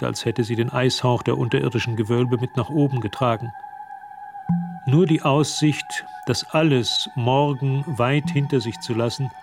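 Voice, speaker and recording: Male radio-drama narrator over music. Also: medium pitch.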